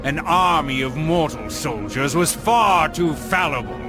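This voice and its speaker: An actor playing a gloating, power-hungry noble villain in a video game, theatrical, taunting and drawn-out. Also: male voice, expressive. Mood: gloating, maniacal. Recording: loud music and rumble behind.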